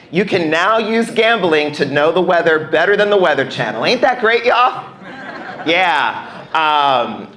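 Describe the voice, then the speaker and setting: A man's voice: a little high, fairly bright tone. A young American man performing a playful, self-deprecating stand-up routine in a conversational way on a club stage. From United States. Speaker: stand-up comedian. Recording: roomy live club sound.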